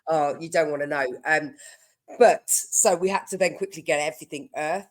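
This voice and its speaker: A woman's voice: deep voice, moderate pace. A woman mortgage broker with a stuffy nose from a cold, chatting casually and explaining her advice with everyday examples.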